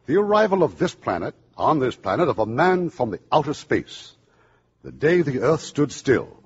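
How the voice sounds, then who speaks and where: Husky 1950s radio host, a man. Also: medium pitch, very animated, normal speed. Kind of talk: formal 1950s radio drama introduction. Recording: dull 1950s radio broadcast recording.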